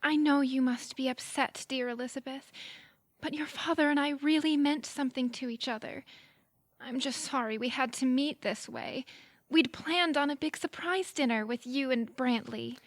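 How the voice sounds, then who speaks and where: A woman playing a scheming, falsely sweet young widow in her twenties in an audio drama, soft and sugary in manner. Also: high-pitched, moderate pace, dry recording. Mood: sweetly sympathetic. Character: scheming 25-year-old gold-digging widow, sweetly false. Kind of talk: audio theatre acting.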